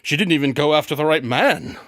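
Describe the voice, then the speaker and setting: Brilliant aloof detective for radio drama: slightly high voice, dry close sound, smug and matter-of-fact. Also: male voice, fairly quick, fairly bright tone, no echo. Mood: dryly dismissive. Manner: matter-of-fact, smug deduction, dryly dismissive. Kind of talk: scripted radio drama acting.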